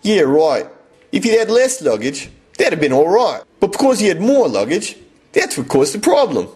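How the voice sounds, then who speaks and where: A man's voice: high-pitched, big pitch swings, moderate pace, a little mellow, breathy edge, voice pushed up, heavily acted. A man voicing an obtuse, patronizing woman with an Australian accent in a comic story. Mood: obtuse, insistent. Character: smugly explaining Vietnamese-Australian woman, unperturbed and obtuse.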